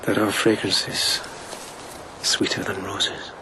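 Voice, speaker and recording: Radio drama: devoted lieutenant of old Japan, lowish voice, soft and measured, noticeable ambience behind. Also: male voice, little pitch movement, background noise. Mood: tender, wry. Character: gentle, wry, devoted police lieutenant of ancient Japan. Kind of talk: intimate radio drama acting.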